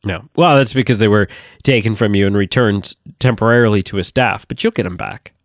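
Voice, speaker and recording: A man hosting a casual movie review podcast, sick and sounding nasal, animated and swearing freely. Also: fairly low pitch, fairly quick, no echo, clean studio sound. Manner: animated, swearing, laughing often. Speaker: podcast host.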